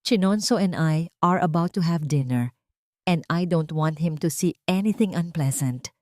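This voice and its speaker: A woman with a Filipino accent voicing a wronged wife, calm, measured and cutting, in an audio drama. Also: deep voice, no room sound, studio quality, lightly acted. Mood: calm, dismissive.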